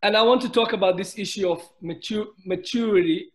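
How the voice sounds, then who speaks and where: Kenyan-born man, slightly high voice. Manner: measured, many restarts and repeated words. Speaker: business owner, panelist. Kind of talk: webinar panel talk. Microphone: video call.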